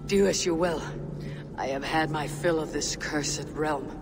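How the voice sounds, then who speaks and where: A man's voice: on the high side, measured pace. A man playing a proud, wary god in a fantasy game cutscene, with a terse, commanding manner. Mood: curt. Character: proud, wary armoured god seeking immortality. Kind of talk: dramatic game cutscene acting. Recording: long reverb, music behind.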